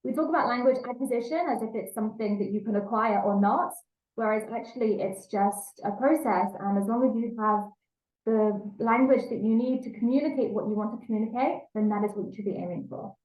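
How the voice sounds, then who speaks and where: A woman's voice: on the high side, fairly quick, a little mellow, reverberant, low-quality recording. A woman with an English accent giving a language learning talk, explaining earnestly and repeating key points for emphasis. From England. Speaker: language teacher.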